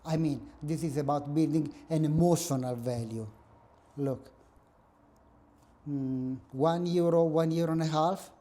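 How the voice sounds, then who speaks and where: Joking Italian man, very dark voice. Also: on the high side, fairly even intonation, measured pace. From northern Italy. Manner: dry joking, self-mocking. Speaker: guest professor. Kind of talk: casual interview. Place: small office room with table.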